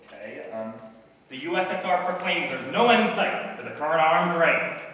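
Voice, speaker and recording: A very echoey, distant stage recording with faint noise. A male actor plays a put-upon comedy writer with a bright, slightly high voice. He is dry, halting and easily exasperated with his partner. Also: animated. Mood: obliging. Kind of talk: live one-act stage comedy acting.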